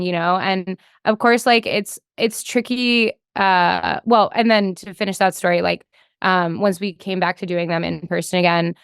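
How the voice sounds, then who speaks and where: A woman's voice: on the low side, gravelly, fairly bright tone, clean tone, no room sound, clean studio sound. A woman chatting casually as an interview guest, thinking aloud and peppering her talk with "like". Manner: conversational, thinking aloud, frequent hedging with "like". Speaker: podcast guest, developer relations engineer.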